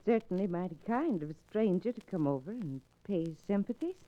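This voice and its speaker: A woman's voice: medium pitch, lively intonation, a little fast, lightly acted. Woman acting genteel frontier shop widow, swingy intonation, muffled old radio western. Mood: polite, guarded. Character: polite widowed woman storekeeper, modest but shrewd. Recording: dull vintage radio recording.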